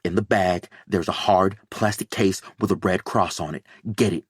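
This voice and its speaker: A man voicing a sharp woman medic who gives urgent, swearing orders in a crisis. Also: low-pitched, moderate pace, breathy edge, no echo, studio quality. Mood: calm.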